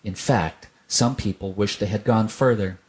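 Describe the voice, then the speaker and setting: A man's voice: on the low side, moderate pace, darkish tone. A man narrating a children's story as a scripted storyteller.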